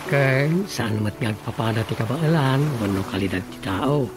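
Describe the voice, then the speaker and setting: A man's voice: mid-range voice, expressive, normal speed, natural voice. A man playing an easygoing, advice-giving fellow in an Ilocano radio drama comedy, with an earnest manner and an Ilocano accent. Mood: earnest, advising. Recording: music bed under the voice.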